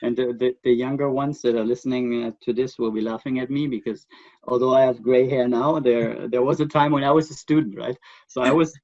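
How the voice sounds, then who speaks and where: A middle-aged man with a German accent, speaking as the guest expert in a remote interview in a thoughtful, halting way with many fillers. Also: medium pitch, fairly even intonation, darkish tone, no room sound, muffled recording.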